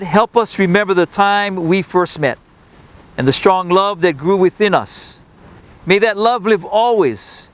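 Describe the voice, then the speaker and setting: A man officiating an outdoor wedding, speaking in a measured, solemn way; his first language is Hawaiian. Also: high-pitched. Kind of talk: wedding ceremony address and prayer.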